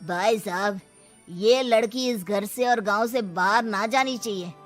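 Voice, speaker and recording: A woman voicing an older, authoritative village man with a slow, declamatory delivery in a moral story. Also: medium pitch, soft background music, lightly acted. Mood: warning.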